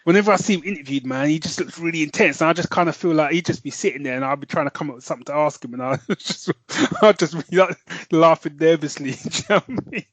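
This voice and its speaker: A man's voice: a little high, quick pace, few pauses, no echo. Man, podcast co-host, rambly, laughs a lot, dry studio sound. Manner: rambling, self-correcting, laughs easily. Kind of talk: casual podcast chat.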